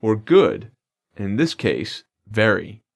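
A man's voice: fairly low pitch, big pitch swings, slow pace, vocal fry, no room sound. A man co-hosting a scripted language lesson, explaining phrases in an upbeat, plain way. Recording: dry studio recording.

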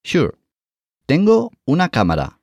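A man teaching Spanish as co-host of a scripted language lesson, saying each phrase slowly and clearly and encouraging the learner. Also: a little high, wildly swooping pitch, a little mellow, dry recording.

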